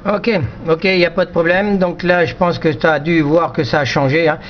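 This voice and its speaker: A male radio amateur with a slightly high voice explains things casually, in choppy phrases that he often repeats. The sound is a little dull, with faint noise behind him. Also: steady tone, normal speed. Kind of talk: casual technical radio chat.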